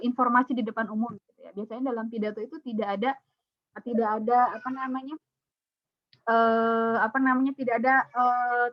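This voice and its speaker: A woman's voice: fairly high pitch, no room sound. A middle-aged woman lecturer with a light Sundanese accent, giving an online class in a chatty way, full of fillers and restarts. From Sundanese region of West Java. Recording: dull, dry lo-fi call audio.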